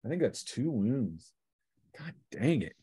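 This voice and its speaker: A man's voice: mid-range voice, very animated, dark tone, slightly rough, dry recording, studio quality. Casual man running a tabletop game. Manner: casual, halting narration mixed with rules talk. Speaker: game master. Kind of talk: narrating a tabletop role-playing game.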